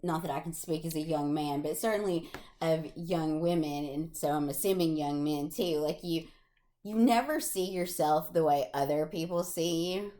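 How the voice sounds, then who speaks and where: Low-voiced woman chatting about books. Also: normal speed, warm tone. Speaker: podcast co-host. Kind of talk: casual book discussion.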